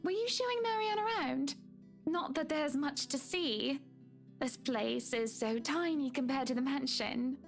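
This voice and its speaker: A woman's voice: high-pitched, measured pace, gravelly, faint music behind, voice pushed up. A woman putting on an airy, pampered rich wife. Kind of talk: game character voicing.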